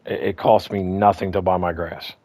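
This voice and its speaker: A man with a slightly hoarse voice walking through his game farm in a casual, rambling way, full of ums and restarts. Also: sits low, little pitch movement, normal speed, darkish tone, no echo. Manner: rambling, repeats himself, lots of ums and restarts. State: slightly hoarse, clearing throat. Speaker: gaming guide host. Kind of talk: casual gameplay walkthrough.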